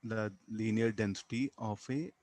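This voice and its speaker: A man with an Indian accent teaching physics, explaining step by step in a halting way, repeating words and tagging on "huh" and "okay". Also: fairly low pitch, fairly even intonation, a little mellow, no room sound. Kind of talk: classroom lesson, explaining a worked problem.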